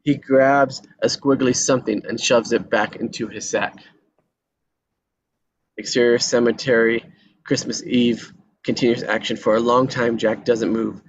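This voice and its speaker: Man narrating screenplay action, mid-pitched. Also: fairly even intonation, a little slow, slight background noise, low-quality recording. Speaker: narrator reading action descriptions. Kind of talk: scripted screenplay narration at table read.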